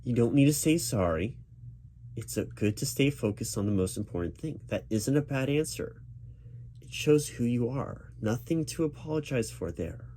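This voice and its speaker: A man playing a job interviewer in a spoken ASMR roleplay, talking in a reassuring, halting way with repeated words. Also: mid-range voice. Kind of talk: ASMR roleplay, spoken aloud rather than whispered. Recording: steady keyboard typing noise behind voice. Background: computer keyboard typing.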